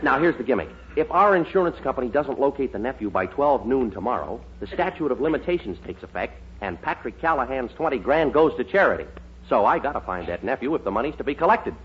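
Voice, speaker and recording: An actor playing a slick, hearty young schemer in a 1940s radio sitcom, glib, breezy and always selling. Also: male voice, medium pitch, sing-song, quick pace, lightly acted. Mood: pitching. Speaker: supporting actor. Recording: muffled, hissy 1940s radio recording.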